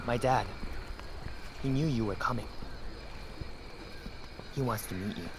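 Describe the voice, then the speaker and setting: An actor playing a friendly local son who guides travelers in an informal, reassuring way. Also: male voice, medium pitch, a little slow, close to an everyday voice. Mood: eager. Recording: off-mic voice in noisy ambience.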